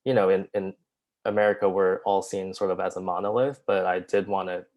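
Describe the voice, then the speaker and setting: A man speaking on a festival Q&A panel, his voice sitting very low. He explains his writing choices in a relaxed, thoughtful, loosely phrased manner. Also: brisk. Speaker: writer-director at a Q&A.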